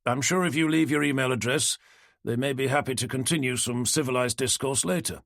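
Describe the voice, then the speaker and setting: A man's voice: fairly quick, gravelly, fairly bright tone, no echo, studio quality. A man voicing a male debate moderator who firmly asks an unruly crowd to settle. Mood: placating. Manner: firm, placating, booming. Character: male debate moderator, booming, trying to keep order.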